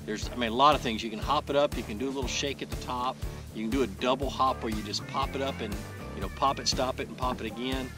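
A man's voice: medium pitch, quick pace, over music. A man in his thirties hosting a fishing video, talking casually through his technique while he fishes from a kayak on a lake, recorded on a boat-mounted action camera. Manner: relaxed, explains while casting, frequent restarts. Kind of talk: casual how-to narration. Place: kayak on an open lake outdoors.

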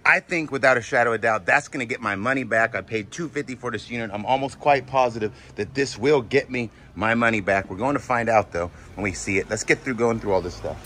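A man's voice: mid-range voice, fairly quick, hardly any pauses, a bit bright. A man in his forties chatting casually as a vlog host, informal and slangy, commenting as he sorts through things. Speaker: host of a storage auction vlog. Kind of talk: casual vlog chat and commentary while sorting finds. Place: outdoors at a storage unit lot, partly in a vehicle. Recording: noisy outdoor audio with vehicle sounds.

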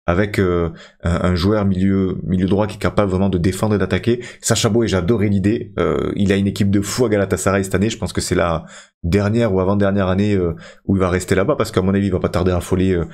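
Chatty French-speaking gamer, lowish voice. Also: male voice, fairly even intonation, fast, a little mellow. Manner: chatty, addresses viewers as friends, many fillers. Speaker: gaming YouTuber. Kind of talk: casual commentary over a football career game.

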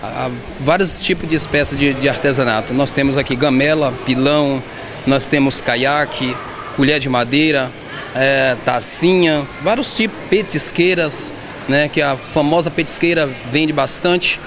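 A man, being interviewed as an artisan. He speaks haltingly and often repeats or restarts words. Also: medium pitch, steady tone. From southern Bahia, Brazil. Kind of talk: street interview. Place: indoor shopping mall. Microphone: handheld reporter mic. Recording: noisy mall background.

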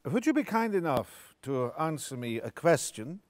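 A smug occupying officer in a stage reading of a radio play. He is courteous and formal, with a fairly high voice that swings widely in pitch, measured and condescending throughout. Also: big pitch swings. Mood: polite, probing. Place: theatre stage before an audience.

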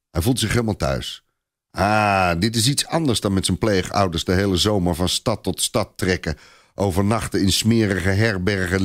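A man narrating a scripted story in a dramatic storytelling style. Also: deep voice.

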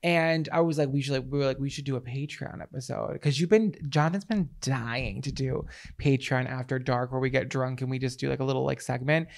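A man's voice: medium pitch, fairly even intonation, a little fast, creaky. An American man in his thirties from Massachusetts chatting casually and playfully as a podcast co-host into a close handheld microphone. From Massachusetts, United States. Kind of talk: casual comedic podcast banter. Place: small decorated indoor podcast set. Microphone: handheld microphone held close.

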